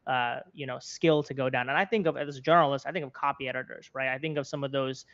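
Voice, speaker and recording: A man's voice: medium pitch, fairly even intonation, fast talker, a bit bright, muffled recording. A man in his twenties with an American accent gives conversational interview commentary, rephrasing his points earnestly as he goes. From Florida, United States. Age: 20s. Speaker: interview guest, content creator and journalist.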